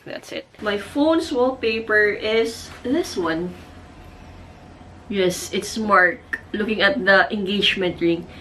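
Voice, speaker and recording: A woman's voice: mid-range voice, slow pace, sharp tone, a little hiss or hum, poor audio. Chatty Filipino woman in her thirties. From Philippines. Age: 30s. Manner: chatty, mixes Cebuano and Tagalog with English. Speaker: vlogger. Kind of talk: casual vlog talk. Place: her bedroom at home.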